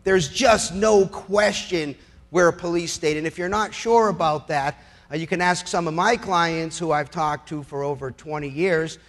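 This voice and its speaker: Middle-aged man, American accent, high-pitched voice, persuasive public speaker, emphatic, rhetorical, sardonic. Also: moderate pace, a bit bright. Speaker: criminal defense lawyer giving a speech.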